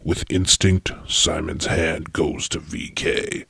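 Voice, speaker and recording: Extremely deep, gravelly male narrator. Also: huge pitch swings, slow. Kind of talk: dramatic comic storytelling narration. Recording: dramatic music behind the voice.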